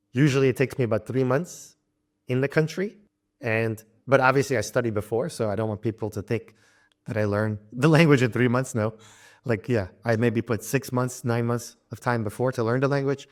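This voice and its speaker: A young man from Louisiana, an interview guest talking casually about travel and language learning, relaxed and halting, with frequent restarts and light laughs. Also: medium pitch. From Louisiana, United States.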